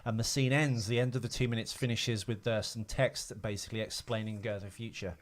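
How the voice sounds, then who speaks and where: A man's voice: lowish voice, flat delivery, fairly quick. A man co-hosting a casual comedy film podcast, rambling along with crude jokes. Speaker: podcast co-host.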